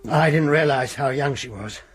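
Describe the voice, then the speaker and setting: A man's voice: mid-range voice, moderate pace, soft background music, natural voice. An actor playing a guilt-ridden married man who confesses in a hesitant, defensive way in a radio drama. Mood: guilty, defensive.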